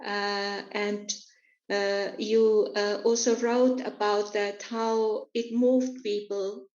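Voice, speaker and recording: Woman, interpreter, very slow, flat delivery, hesitant, roomy dull lo-fi sound. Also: mid-range voice. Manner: hesitant, many filler sounds.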